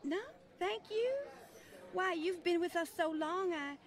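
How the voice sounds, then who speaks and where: Radio drama role: actress as high-pitched Southern matron, syrupy polite, effusive, condescending to those who work for her. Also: a little slow. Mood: gushing. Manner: effusive, overpolite, fluttery. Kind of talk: old-time radio acting.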